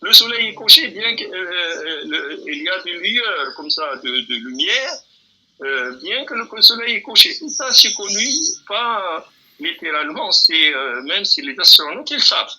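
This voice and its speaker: A man explaining a religious question in a relaxed, hesitant way, with many restarts and fillers, sometimes quoting scripture. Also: high-pitched, unhurried, poor audio. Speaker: imam answering a question.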